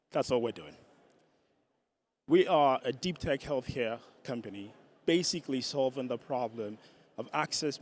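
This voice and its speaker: A man pitches to a crowd on an outdoor stage, quizzing the audience as he goes. His voice sits at an ordinary pitch through a stage mic, with faint city noise behind him. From Ireland. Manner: engaging, asks audience questions. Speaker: startup founder pitching.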